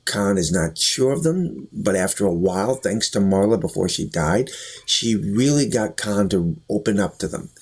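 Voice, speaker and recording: A man with a husky voice giving an earnest, deliberate explanatory review and commentary, as a vlogger. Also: fairly low pitch, lively intonation. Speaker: YouTube reviewer. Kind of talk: talk-to-camera review and commentary.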